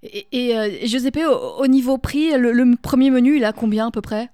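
A woman hosting a radio interview, with brisk questions and quick affirming replies. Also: a little high, fairly even intonation, mellow, dry recording, clean studio sound. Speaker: radio host.

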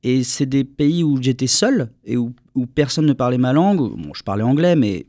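A young man from France, a singer answering interview questions in a relaxed, rambling way with many fillers. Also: medium pitch, moderate pace, no room sound. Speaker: singer guest.

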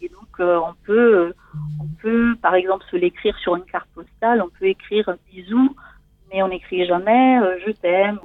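A woman's voice: medium pitch, normal speed, dry recording, narrow, tinny audio. Hesitant Italian-accented woman in her forties. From Italy. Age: 40s. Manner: hesitant, searching for words. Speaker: guest seeking coaching. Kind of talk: personal conversational testimony.

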